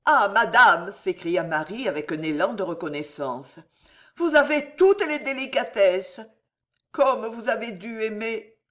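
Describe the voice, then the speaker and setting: A reader voicing a devoted young royal mistress in an audiobook, soft and imploring, her voice raised high. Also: expressive, a little slow, close to an everyday voice. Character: king's devoted young mistress, anxious and pleading.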